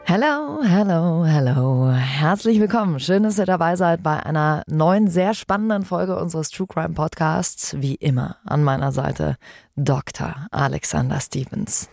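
A woman's voice: low-pitched, animated, a little slow, creaky, a little mellow, dry recording, studio quality. A woman presenting a podcast in a chatty, informal way, speaking directly to her listeners. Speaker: podcast host, radio presenter.

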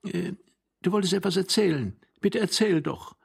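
A man's voice: sits high, moderate pace, vocal fry, husky, voice pushed up, full character voice. A man voicing an old man's young wife in an audiobook, coaxing and easily bored. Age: young adult. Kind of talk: audiobook character voice.

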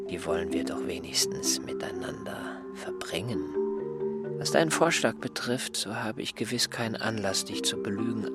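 Casting: male actor as indifferent, insolent ex-servant, low subdued voice, radio drama with prominent music underneath. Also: lightly acted. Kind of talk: literary radio drama acting.

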